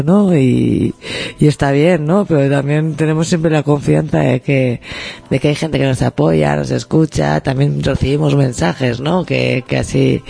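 A man's voice: on the high side, creaky, mellow, slightly rough. A middle-aged man co-hosting a community radio show, chatting in a reflective, rambling and self-mocking way. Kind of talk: casual radio chat. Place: radio studio.

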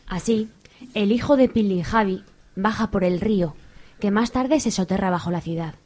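A woman's voice: mid-range voice, normal speed, darkish tone. A woman with an accent from Spain reading scripted narration for a radio soap. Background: faint music.

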